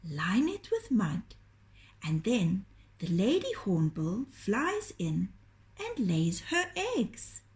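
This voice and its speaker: A woman reading a children's story aloud in a gentle, deliberate, expressive storytelling manner. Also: mid-range voice. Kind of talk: children's storytelling, scripted narration.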